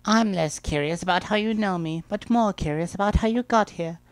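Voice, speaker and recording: Man putting on a royal female pony, lifted pitch, measured formal manner, dry close sound, storybook ruler. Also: smooth, no room sound. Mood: composed curiosity. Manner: calm, formal. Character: regal, composed adult female pony princess. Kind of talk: dramatic fan-fiction reading.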